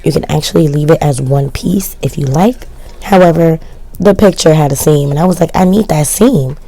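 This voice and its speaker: A woman talks through her sewing project in a casual voice-over, low and mellow, with a gravelly fry. Her voice is worn and cracks from constant yelling, and she keeps tossing in self-mocking, shrugging asides. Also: normal speed. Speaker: sewing vlogger. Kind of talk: casual DIY voice-over narration.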